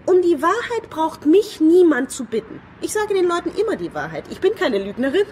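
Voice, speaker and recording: A prim, unstable young woman in a radio play. Her voice is high and very lively in pitch. She is touchy and insistent, with faint street noise behind her. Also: a little fast. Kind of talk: German radio drama acting. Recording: background street traffic noise.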